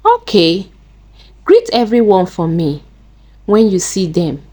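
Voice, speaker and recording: A woman's voice: medium pitch, big pitch swings, slow, dark tone. A woman voicing a kind, trusting married auntie in a folktale, earnest and questioning. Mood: calm.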